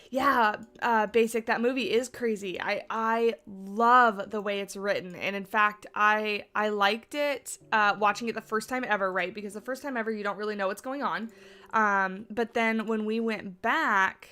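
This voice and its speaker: A woman's voice: mid-range voice, sharp tone. A woman hosting a game livestream in a casual, rambling way, with many fillers and restarts, congested and sniffly from allergies. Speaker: livestream host.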